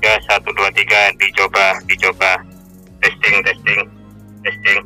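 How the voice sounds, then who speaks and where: A man's voice: low-pitched, completely flat delivery, measured pace, sharp tone, faint music behind, poor audio. A man doing test calls heard over a walkie-talkie radio.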